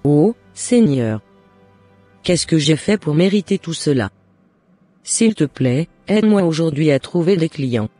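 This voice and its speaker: A woman as a long-suffering mother, mellow and dry. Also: low-pitched, animated, unhurried, no echo, faint music behind. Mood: hurt, sorrowful. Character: long-suffering, devout, hard-working shopkeeper mother.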